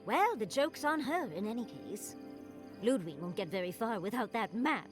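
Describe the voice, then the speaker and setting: Lively, high-voiced plucky adventurer. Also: female voice, moderate pace, music in the background. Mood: cheeky optimism. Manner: playful, spirited. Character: plucky, cheeky, upbeat female adventuring companion. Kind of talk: dramatic video game acting.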